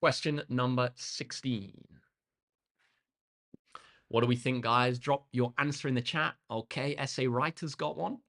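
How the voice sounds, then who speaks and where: A young man with a slightly croaky voice, tutoring maths on a live stream in an upbeat, chatty way, reading out comments from chat. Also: medium pitch, steady tone, a little slow, long pauses, a bit bright. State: slightly hoarse. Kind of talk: live-streamed exam walkthrough.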